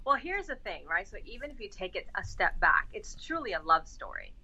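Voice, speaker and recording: A woman's voice: mid-range voice, fairly quick, breathy edge. A woman from the Bronx, an executive coach, talking energetically and at length as a podcast guest. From the Bronx, New York. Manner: energetic, talkative, many fillers. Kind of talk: casual podcast interview. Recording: dull lo-fi sound.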